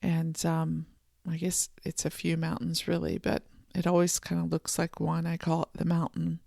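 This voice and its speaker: A podcast host giving a soft, contemplative solo monologue from a home office, voice a little froggy this morning. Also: mid-range voice, completely flat delivery, creaky, no room sound, studio quality. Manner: soft, slow and contemplative, with gentle restarts. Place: home office and art studio.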